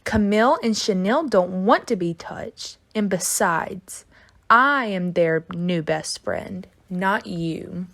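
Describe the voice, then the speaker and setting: A woman's voice: mid-range voice, slow, vocal fry, fairly bright tone, no echo, heavily acted. A woman voicing a prissy, haughty first-grade girl in a storybook, prim, bossy and dismissive. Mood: smug, dismissive. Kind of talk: children's storybook read-aloud.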